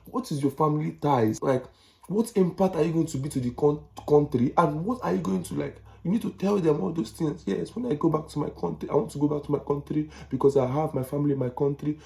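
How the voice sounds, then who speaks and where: A man's voice: on the high side, fast talker, creaky, dark tone. A young Nigerian man with a Nigerian accent, giving casual advice in a chatty, repetitive way with slangy asides. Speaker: vlogger.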